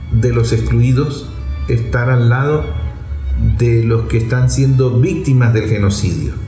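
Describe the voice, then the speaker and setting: A man's voice: mid-range voice, darkish tone. A man giving a political commentary monologue in an emphatic, didactic way, repeating phrases for effect. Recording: echoey room with music underneath. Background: music.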